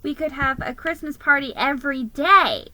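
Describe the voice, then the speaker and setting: Woman as a squeaky, pleading female mouse Santa. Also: normal speed, sharp tone, no room sound, poor audio. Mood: puzzled, pleading.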